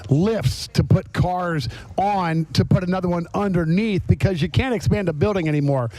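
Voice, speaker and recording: Middle-aged man, American accent, slightly high voice, dry close-miked sound, anecdotal, emphatic, casual podcast host. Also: normal speed, a little mellow, no room sound. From Florida, US. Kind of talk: casual chat interview. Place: on location at a garage.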